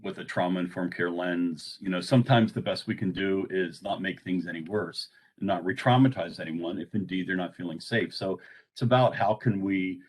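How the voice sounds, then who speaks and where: A man presenting an informal training on a conference call, speaking in a halting way. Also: deep voice, slightly rough.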